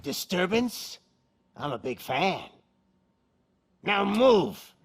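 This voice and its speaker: A man's voice: sits high, very animated, drawn-out words, gravelly, husky, exaggerated voice. A man playing a cocky, menacing gang boss in a video game, with a taunting showman's delivery. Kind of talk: video game villain acting.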